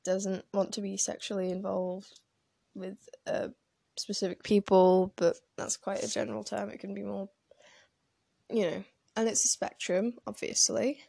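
A woman who grew up in France talking casually into her phone for a vlog, hesitant and rambling with plenty of pauses and fillers. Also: mid-range voice, level tone, creaky, warm tone, dry recording. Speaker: vlogger. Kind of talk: casual personal talk to camera.

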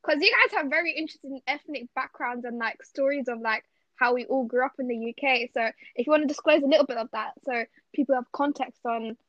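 A woman's voice: high-pitched, fast, hardly any pauses, fairly bright tone, no room sound, lo-fi sound. A young woman from Bangladesh hosting a casual podcast chat, upbeat and chatty with lots of fillers. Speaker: podcast host.

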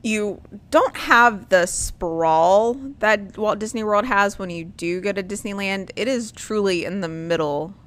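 A woman's voice: mid-range voice, unhurried, clean tone. A woman, hosting a casual chat and rambling with lots of ums and likes.

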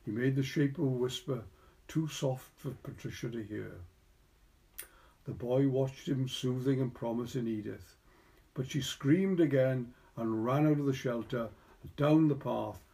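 A Welsh man narrates a literary story. His voice is mellow and relaxed, sitting at an ordinary male pitch. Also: unhurried, vocal fry. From Wales. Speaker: story reader.

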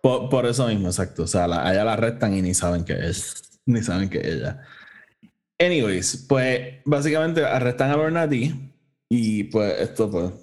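A man with a fairly deep voice and a Puerto Rican accent talks as a podcast co-host. His style is casual and unpolished, mixing English into his Spanish and cursing freely. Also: expressive, normal speed, a little mellow. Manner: informal, swearing, code-switches between Spanish and English. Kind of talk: casual conversational review.

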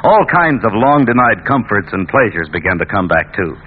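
Animated male announcer, tinny vintage radio. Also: on the low side, moderate pace, slight background noise. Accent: American. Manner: emphatic, polished salesmanship. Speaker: radio announcer and cigarette pitchman. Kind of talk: 1940s radio advertising announcer, scripted. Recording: tinny old radio broadcast.

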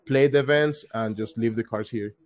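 A man's voice: medium pitch, normal speed. Young man, loose rambling talk. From Colombia. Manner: loose, repetitive phrasing with many likes and restarts. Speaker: guest, card game store player. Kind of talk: casual interview over call.

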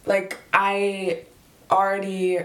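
A woman's voice: medium pitch, completely flat delivery, very slow, a bit bright. A young woman with Dutch and American roots who co-hosts a podcast, chatting casually and asking her partner questions. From Netherlands and USA. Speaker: podcast co-host. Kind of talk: casual chat. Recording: echoey, off-mic.

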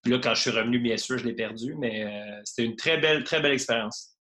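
A man's voice: mid-range voice, fairly even intonation, fast, bright, forceful tone. A man in his thirties from Quebec with a Quebec French accent, chatting at ease as a podcast guest, with many fillers and restarts. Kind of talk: casual remote podcast interview.

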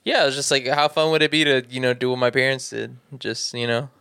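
A man's voice: medium pitch, fairly even intonation, fast, gravelly, bright, forceful tone, clear, resonant tone, no room sound, clean studio sound. A young man co-hosting a casual podcast, telling stories with a joking tone and frequent chuckles. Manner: joking storyteller, chuckles often. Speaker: podcast co-host. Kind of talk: casual chat.

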